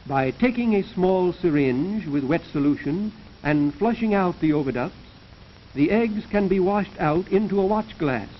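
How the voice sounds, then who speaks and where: A man giving formal, measured, scripted narration in the manner of a 1930s educational science film, lecturing as the narrator. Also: high-pitched, lively intonation. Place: recorded voice-over for a film. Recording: dull, noisy 1930s film soundtrack.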